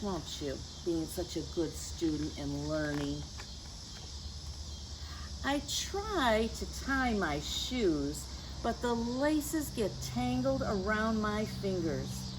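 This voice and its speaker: A woman reading a picture book to young children in a gentle, teacherly way, with chatty asides to her listeners. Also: medium pitch, background noise. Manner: gentle teacherly address, tag questions to the listeners. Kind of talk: read-aloud story time for young children with chatty asides. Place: outdoors.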